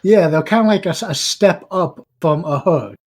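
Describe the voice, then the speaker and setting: A man's voice: a little high, animated, normal speed, darkish tone, breathy edge. A man chatting casually on a podcast, explaining things in rambling runs with many fillers.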